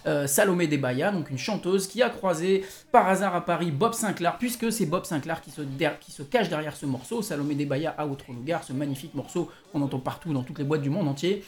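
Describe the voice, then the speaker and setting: A man's voice: fairly high pitch, a little fast, few pauses. A man hosting a music quiz as a DJ, chatting casually and playfully, rambling and correcting himself as he goes. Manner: chatty, rambling, many restarts and self-corrections; playful, jokey asides. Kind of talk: casual live music show chat.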